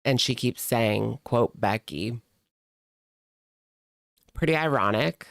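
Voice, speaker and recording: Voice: mid-range voice, slow pace, vocal fry, no room sound, clean studio sound. A streamer giving casual reaction commentary in a gossipy, sardonic, conversational way.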